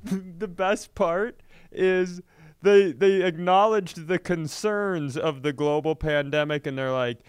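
A man with a very clear, smooth voice, a little high in pitch, recorded dry and close. He co-hosts a comedy podcast, telling stories in a halting, casual way with some swearing. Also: no echo. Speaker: podcast co-host.

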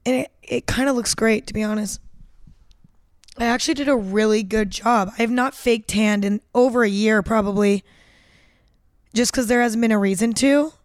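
A talkative young woman on the edge of nineteen, rambling and self-deprecating as she hosts her own podcast. Her slightly high voice is smooth and clear despite a hoarse, cracking edge. The recording is dry and close. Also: moderate pace, no room sound. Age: late teens. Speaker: podcast host. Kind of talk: casual solo podcast chat.

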